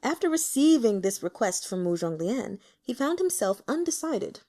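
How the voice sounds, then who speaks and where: Expressive woman narrator with lively pitch. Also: normal speed. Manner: dramatic, storytelling. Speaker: audiobook reader. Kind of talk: expressive scripted narration of a fantasy novel.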